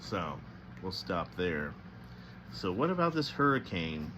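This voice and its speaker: A man reading a novel aloud as narrator, with a slightly halting delivery that stumbles now and then. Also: low-pitched, sing-song, fairly bright tone, a little hiss or hum. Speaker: storybook reader.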